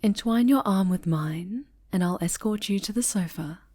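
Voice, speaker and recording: A woman voicing a possessive, sinister superhero in an ASMR roleplay, soft and often whispered, with a tender, coaxing menace. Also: medium pitch, normal speed, lightly acted. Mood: coaxing, satisfied. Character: possessive, sinister female superhero, a sweetly menacing obsessive captor.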